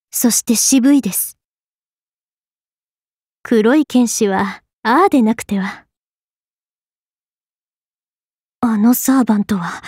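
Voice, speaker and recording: High, poised young space goddess. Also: female voice, mellow, no room sound, clean studio sound. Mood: cool, composed. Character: proud young space goddess swordswoman, cool, dignified, easily flustered. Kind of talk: anime game character voice lines.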